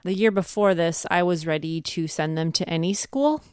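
Woman, thirties to forties, slightly low pitch, smooth clear voice, earnest, emphatic, conversational co-host. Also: moderate pace, no echo, clean studio sound. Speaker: podcast co-host, homeschooling mother. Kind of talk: conversational advice talk.

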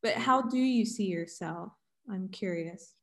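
A woman hosting a casual interview, curious and relaxed, with frequent fillers. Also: mid-range voice, measured pace. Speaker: podcast host.

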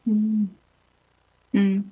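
A young woman from New Zealand with a softened Kiwi accent, co-hosting a podcast, friendly and often murmuring agreement. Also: medium pitch, monotone, very slow, husky, narrow, tinny audio. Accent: mixed, not typically Kiwi. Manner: friendly, frequent backchannel mm. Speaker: podcast co-host, communications worker. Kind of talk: casual podcast chat.

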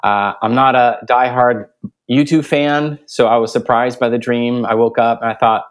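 A man's voice: medium pitch, fairly even intonation, normal speed, clear, resonant tone. Thoughtful male theology professor. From Guatemala. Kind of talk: interview conversation.

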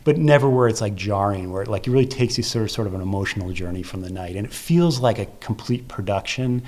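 Guy being interviewed, lowish voice, chatty and explanatory, thinks out loud. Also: fast. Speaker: interview guest, theatre festival curator and producer. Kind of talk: conversational interview.

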